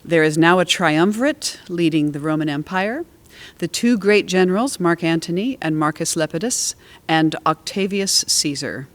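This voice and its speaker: A woman speaking as a theater expert on a panel, explaining things in a measured, thoughtful and articulate way. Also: sits low. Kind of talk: explanatory discussion and spoken introduction.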